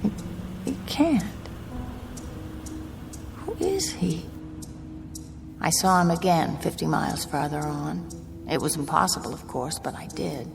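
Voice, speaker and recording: A woman's voice: low voice, animated, unhurried, creaky, long pauses, music in the background, heavily acted. An actress playing an anxious, haunted young woman traveling alone in a radio drama, speaking in a hesitant, polite, uneasy way. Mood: haunted, brooding.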